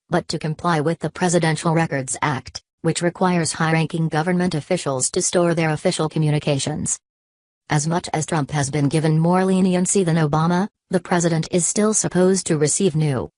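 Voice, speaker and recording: A woman narrates a news story in a steady, matter-of-fact way. Her voice sits deep for a woman. The recording is dry and close, with no room around it. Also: no room sound.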